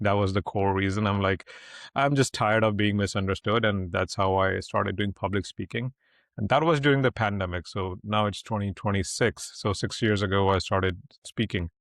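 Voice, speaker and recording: A man in his thirties with an Indian accent, telling his story as a guest in a candid, free-flowing way. His voice sits low, and the sound is dry and close with no room around it. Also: dry recording. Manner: blunt, talkative, rambling with frequent "uh" fillers. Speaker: guest, public speaking coach. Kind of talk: casual interview storytelling.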